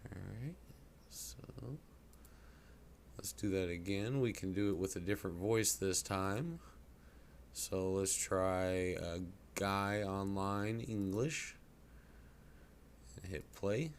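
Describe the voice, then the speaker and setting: A man with a low voice talks through a software tutorial in a casual, folksy way. He sounds like an informal presenter at his computer, with some noise behind him. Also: slow pace, long pauses. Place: indoors at a computer. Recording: clear background noise.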